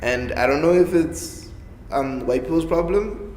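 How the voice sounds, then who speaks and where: A man's voice: fairly high pitch, unhurried, darkish tone, a little hiss or hum. Young man in an echoey panel room. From Africa. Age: young adult. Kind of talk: casual panel discussion. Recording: echoey room with long reverb.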